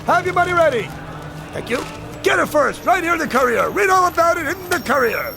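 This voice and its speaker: Gravelly, sly, high-voiced trickster newspaperman. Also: sing-song, fairly quick, exaggerated voice. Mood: showy hawking. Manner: theatrical, unctuous, teasing. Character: sly, suave, devilish newspaperman with showy sales patter. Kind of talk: radio drama acting. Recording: music behind the voice.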